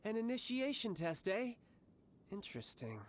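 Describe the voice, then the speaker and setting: Cocky, animated young warrior. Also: male voice, high voice, normal speed, slight background noise. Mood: confident, teasing. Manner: smooth-talking, theatrical, smug. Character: cocky, polite young male warrior posing as salesman. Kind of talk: English-dubbed anime game voice acting.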